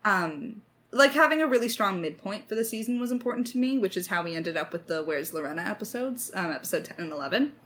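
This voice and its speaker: A woman's voice: mid-range voice, animated, quick pace, a bit bright. A woman telling stories casually as a writer in a creator Q&A, with playful mock-dramatic emphasis. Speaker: lead writer of an audio drama.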